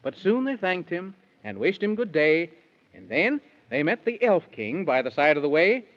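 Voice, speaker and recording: A genial storybook narrator reciting in rhyme for children. The delivery is 1930s radio storytelling, on a dull, muffled old recording. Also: male voice, a little high, big pitch swings, normal speed.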